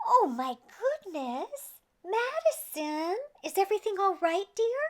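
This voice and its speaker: A woman voicing a gentle, caring mother who talks tenderly and coaxingly to her child in a children's story. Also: very high-pitched, very animated, a little slow, pitched above the performer's own voice, exaggerated voice. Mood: concerned.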